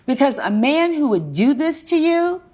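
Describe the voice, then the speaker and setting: A woman's voice: high-pitched, sing-song, normal speed, a little mellow. A woman speaking as a television courtroom judge, questioning and ruling in a stern, emphatic, lecturing way.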